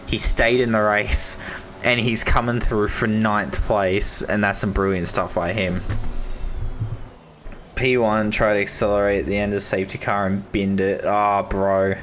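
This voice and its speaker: A young man with an Australian accent, giving casual, enthusiastic live commentary on an online racing league, his voice hoarse and going. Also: lowish voice, level tone, measured pace, a bit bright, slight background noise. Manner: enthusiastic, repeats praise, casual slang. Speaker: esports race commentator.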